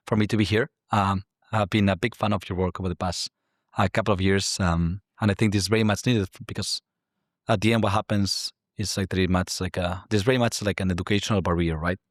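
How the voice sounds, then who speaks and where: A lowish-voiced man with a Spanish accent talks through a casual interview. He strings his points together with constant "like" fillers and a questioning "right?". The sound is dry and close. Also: steady tone, fairly quick, no echo. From Spain. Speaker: guest, climate tech investor.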